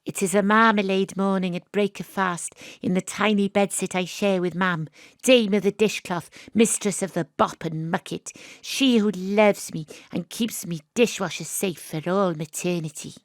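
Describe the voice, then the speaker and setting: Female actor for young Welsh sleuth, bookish and lyrical, audio drama, medium pitch, dry studio sound. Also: fairly even intonation, moderate pace, dry recording, lightly acted. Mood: lyrical, wry. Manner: lyrical, wordplay-loving. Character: young bookish Welsh daughter, amateur private investigator, poetic narrator.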